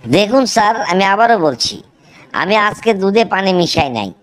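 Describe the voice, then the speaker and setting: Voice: mid-range voice, sing-song, moderate pace, natural voice. A voice actor playing a stubborn, cheeky milkman who insists he is innocent in a comedy cartoon. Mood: defensive. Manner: pleading, insistent.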